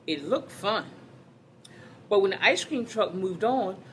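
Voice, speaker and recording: A woman's voice: medium pitch, lively intonation, takes it slowly, a little hiss or hum. A woman reading a children's story aloud, expressively, addressing her young listeners directly.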